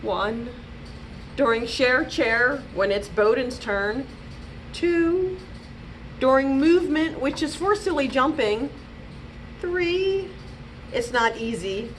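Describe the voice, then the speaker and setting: A woman reading a storybook aloud to young children, deliberate and expressive, leaning on key words. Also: sits high, slight background noise, poor audio. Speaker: children's librarian, storytime reader.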